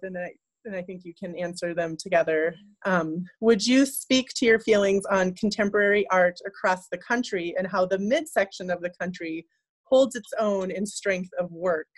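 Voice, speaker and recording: A woman's voice: mid-range voice, rough recording. A woman in her forties moderating an online talk from an office, reading out questions with some fillers. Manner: measured, reads questions aloud with fillers. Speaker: interviewer, art center host. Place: office with bookshelves. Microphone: laptop webcam, video call.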